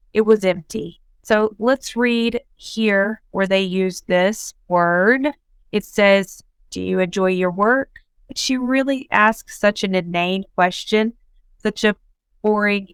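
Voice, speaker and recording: Slow, clear-voiced woman teaching English. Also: medium pitch, steady tone, fairly bright tone, dry recording. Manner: patient, explains words with repeated simple synonyms.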